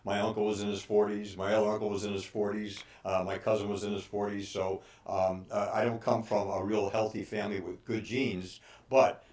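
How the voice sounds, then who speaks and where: A man in his sixties giving informal advice as a personal trainer, speaking haltingly with frequent pauses. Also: on the low side, level tone, darkish tone. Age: 60s. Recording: roomy, echoing room sound.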